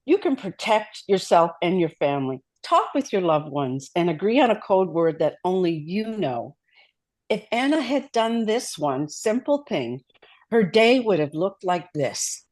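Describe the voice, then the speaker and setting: A woman's voice: fairly low pitch, big pitch swings, unhurried, a little mellow, no echo. A woman playing a firm, skeptical mother in a scripted drama table read. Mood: earnest. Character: Anna, smiling mother and actress, firm and skeptical.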